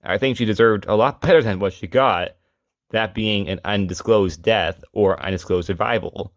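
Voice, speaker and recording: Low-voiced man narrating a retrospective. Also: moderate pace, no echo, studio quality. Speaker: host of a character retrospective video series. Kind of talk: informal scripted retrospective narration.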